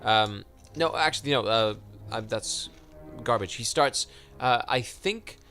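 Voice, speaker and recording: A man chats casually on a film podcast, circling his points and filling gaps with hesitations. His voice is bright and forward, and faint music sits behind him. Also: medium pitch, a little slow. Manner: rambling, hesitant with many fillers. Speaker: podcast co-host. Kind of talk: casual chat about films.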